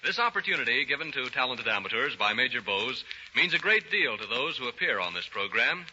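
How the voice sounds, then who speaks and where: A man's voice: mid-range voice, very animated, brisk, bright, forceful tone. A man with an American accent announcing a scripted 1930s radio show in an emphatic, formal selling style. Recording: dull, noisy 1930s radio recording.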